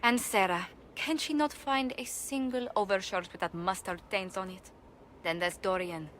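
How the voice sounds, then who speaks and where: A woman's voice: mid-range voice, moderate pace, a bit bright, close to an everyday voice. An actress playing an earnest, gracious noblewoman diplomat in a video game, speaking formally. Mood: exasperated. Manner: formal, courtly, polite wording. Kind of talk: video game cutscene acting. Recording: game capture with background ambience noise.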